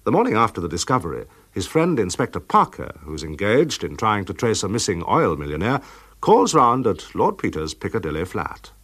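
A man's voice: lowish voice, very animated, normal speed, a bit bright, husky. A man with an English accent reading scripted narration as the announcer of a radio drama.

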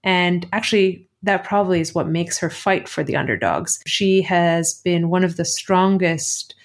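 A woman's voice: fairly low pitch, a little slow. A middle-aged woman from Greece with an American accent, telling personal stories as an interview guest. She talks conversationally and thinks aloud, correcting herself as she goes. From Athens, Greece. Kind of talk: reflective personal interview storytelling.

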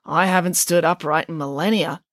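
A woman's voice: lowish voice, steady tone, moderate pace, no echo, clean studio sound, lightly acted. A woman voicing a lazy, scheming male titan god who talks in a smug, offhand drawl, in a storybook reading. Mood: weary.

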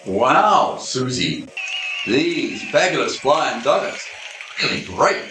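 Casting: a man playing a goofy, bumbling detective, lively eager voice, amateur radio play, roomy room with music under. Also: medium pitch, slow pace. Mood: excited. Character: adult male supernatural detective, bumbling and easily impressed.

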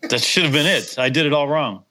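A man's voice: a little high, fairly quick, heavy background noise. A middle-aged man from Wisconsin, a guitarist with a slight Upper Midwest accent, chatting casually in a rambling, self-deprecating way with many restarts. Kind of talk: casual chat.

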